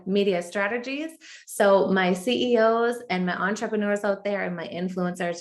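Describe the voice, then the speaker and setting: A woman hosting a podcast, introducing and interviewing a guest with effusive enthusiasm. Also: mid-range voice. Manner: effusive, enthusiastic, repeats "amazing". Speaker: podcast host.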